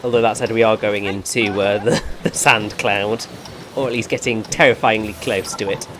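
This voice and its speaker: A man with a naturally dry, deadpan voice, giving directions and chatting over a game. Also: on the low side, normal speed, background noise. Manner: guiding, precise, rambling over-explainer. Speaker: co-commentator. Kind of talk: casual let's-play chat.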